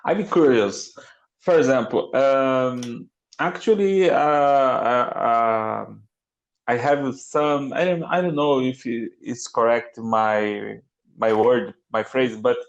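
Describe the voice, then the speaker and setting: A man's voice: mid-range voice, creaky, highs cut off. A man from Brazil with a Brazilian Portuguese accent, speaking English as a learner on a video call and often stopping to search for words. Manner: halting, searching for words, many restarts. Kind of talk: casual online lesson chat. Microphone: computer mic on a video call.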